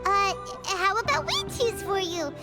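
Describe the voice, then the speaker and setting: A tiny floating fairy sidekick chatters in a very high, squeaky voice that leaps all over the place. She is bubbly and eager. Loud game music plays underneath. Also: sing-song, unhurried, heavily acted. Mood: sheepish. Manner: speaks of herself in the third person, bubbly and eager. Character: tiny floating fairy companion, chirpy and excitable. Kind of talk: video game voice acting.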